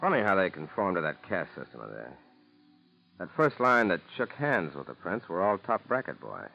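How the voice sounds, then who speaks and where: A man's voice: deep voice, very animated, moderate pace, vocal fry, exaggerated voice. An American man acting a wary FBI agent in a 1940s radio drama, clipped and matter-of-fact. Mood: watchful, suspicious. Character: wary male FBI field agent, sharp-eyed and suspicious. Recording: muffled vintage 1940s radio recording.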